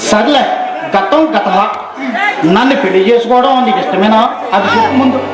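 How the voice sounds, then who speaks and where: In an echoey hall with a noisy crowd behind, a man plays a lovelorn young male suitor on stage. He is boastful and declamatory, pitched very high, throwing out his lines in theatrical style. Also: a little fast. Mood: insistent. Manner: theatrical, declamatory, rhyming delivery. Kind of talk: Telugu stage play acting. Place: stage in front of audience.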